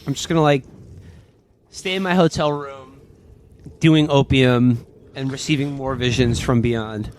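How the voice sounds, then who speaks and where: A man improvises casually as a player in a tabletop game. His voice sits at an ordinary pitch, with noticeable noise in the background. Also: steady tone, measured pace, long pauses. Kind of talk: casual improvised role-play.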